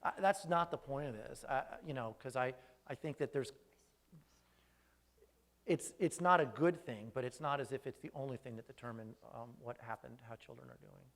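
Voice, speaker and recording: A man's voice: medium pitch, normal speed, creaky, a bit bright. A man who grew up speaking Greek first, a researcher answering audience questions after a talk in a thoughtful, hesitant way with frequent restarts. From raised speaking Greek first. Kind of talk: live Q&A after a lecture.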